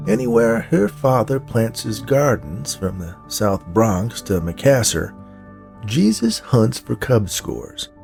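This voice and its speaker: Lively, gravelly man hosting a podcast. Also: lowish voice. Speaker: podcast host and story narrator. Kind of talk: interview and story narration.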